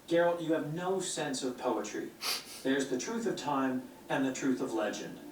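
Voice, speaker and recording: An actor playing a pompous, theatrical poet bard in a video game, declaiming in florid phrases. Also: male voice, mid-range voice, animated, moderate pace, a little hiss or hum, lightly acted. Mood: pompous, wry. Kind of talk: video game cutscene acting. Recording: roomy distant game playback, music behind.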